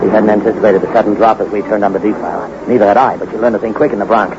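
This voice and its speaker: An actor playing a ruthless gold hunter from the Bronx, narrating in a tough, cynical first-person voice. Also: male voice, fairly low pitch, steady tone, rapid-fire, very noisy, lightly acted. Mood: cold. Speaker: narrator and lead character. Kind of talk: 1940s radio acting. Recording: muffled old radio recording with music.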